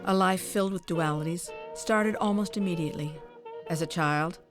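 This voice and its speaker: A woman reads scripted documentary narration in a measured, formal storytelling manner. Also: on the low side, music in the background.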